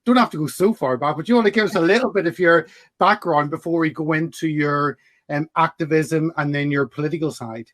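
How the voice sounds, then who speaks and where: A man's voice: on the high side, a little fast, a bit bright, no echo. A man with a Northern Irish accent hosting an interview, friendly and repetitive with fillers. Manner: friendly, repeats words, fillers. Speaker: podcast host. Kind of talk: interview chat.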